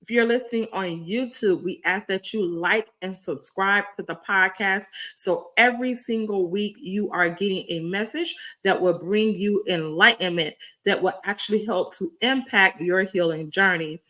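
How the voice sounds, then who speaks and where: A woman hosting a podcast, her voice a little raspy, talking with effusive, repetitive, preacher-like enthusiasm. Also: medium pitch, moderate pace, hardly any pauses, bright, forceful tone. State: slightly raspy voice. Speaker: podcast host.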